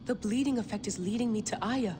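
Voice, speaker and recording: A woman's voice: a little high, fast, noisy background, close to an everyday voice. An actress playing a stubborn, obsessive young woman researcher in a video game cutscene, with a curt, natural delivery. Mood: determined. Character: headstrong, obsessive young woman researcher chasing her dream.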